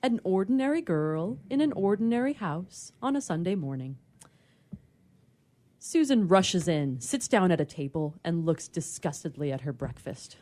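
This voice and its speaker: A woman's voice: lowish voice, expressive, moderate pace, dark tone. A woman who performs in radio theatre, speaking as a player and narrator on the show. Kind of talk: casual chat and scripted narration.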